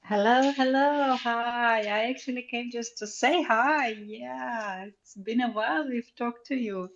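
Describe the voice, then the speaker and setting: A woman's voice: on the high side, measured pace, muffled recording. A woman with a Ukrainian accent chatting casually and cheerfully as a guest in an online audio room. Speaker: guest, digital artist. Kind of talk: casual chat. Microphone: live online audio room.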